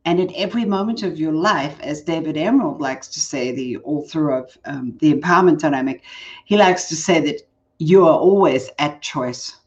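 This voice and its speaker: Low-voiced South African woman, older. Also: normal speed, dull audio. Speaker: guest, leadership coach. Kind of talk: casual interview chat.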